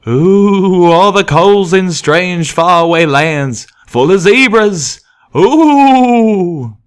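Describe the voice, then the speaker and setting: A man's voice: high-pitched, expressive, extremely slow, smooth, voice pushed up, full character voice. A man voicing a brash male pony with a folksy, emphatic way of talking for an audiobook. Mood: mock spooky. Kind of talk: audiobook character voice.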